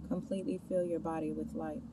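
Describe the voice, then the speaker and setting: A woman leading a scripted guided meditation, giving the listener soft step-by-step instructions and questions. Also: flat delivery, a little fast. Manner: instructional, addressing the listener with gentle imperatives and questions. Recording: distant mic, clear background noise, ambient rumble.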